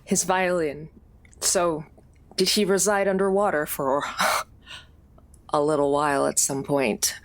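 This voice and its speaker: Woman playing a low, reluctant, wry adventurer. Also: takes it slowly, long pauses, no echo.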